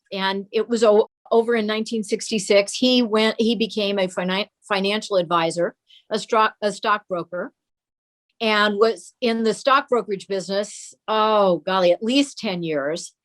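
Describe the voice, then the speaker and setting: Casting: older American woman, interview guest, mid-range voice, reflective storyteller who pauses and repeats for emphasis. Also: medium pitch. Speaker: interview guest, daughter of a radio and TV performer. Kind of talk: conversational reminiscence in an interview.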